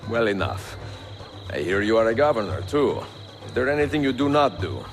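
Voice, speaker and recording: An older man with an Italian accent, playing a wise, weary and resolute aging assassin in a video game, speaking in a calm, measured way. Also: on the low side. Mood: guarded, dry. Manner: measured, deliberate. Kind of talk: cinematic game acting, grave and measured. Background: music.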